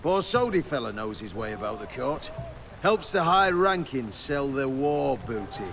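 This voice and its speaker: A sneering British foot soldier in a fantasy game. His medium-pitched voice is lively and sarcastic, with clear outdoor noise behind it. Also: normal speed. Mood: mocking. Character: insolent, mocking rank-and-file soldier man. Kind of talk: video game voice acting.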